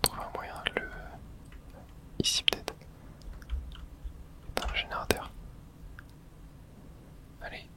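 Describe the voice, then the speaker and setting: Girl whispering asmr gameplay commentary, super breathy whisper, casual slangy talk, woman streamer. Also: takes it slowly, pauses often. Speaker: streamer playing a horror video game.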